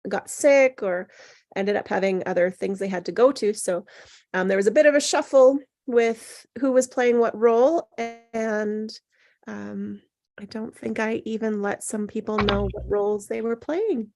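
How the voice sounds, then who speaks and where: A woman's voice: medium pitch, measured pace, darkish tone. A woman hosting a casual group discussion. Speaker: host of online table read.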